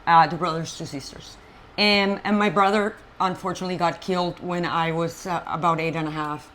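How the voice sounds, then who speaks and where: Woman, from Colombia, native Spanish speaker, halting and animated, lowish voice, light background hiss. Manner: animated, halting, many fillers and restarts, frank. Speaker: interview guest. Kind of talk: personal storytelling in conversation.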